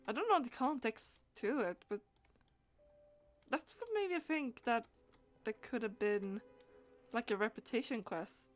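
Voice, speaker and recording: A woman's voice: on the high side, lively intonation, unhurried, soft background music. A woman doing casual live gaming stream commentary, thinking aloud hesitantly and letting phrases trail off.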